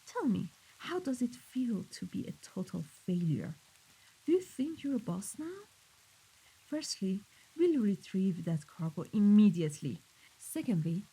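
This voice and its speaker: A woman's voice: mid-range voice, mellow, husky, poor audio. A woman playing a cold, commanding mafia boss in a scripted audio roleplay, speaking sternly and accusingly. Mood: icy, reprimanding. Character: cold, menacing female mafia boss dealing in weapons.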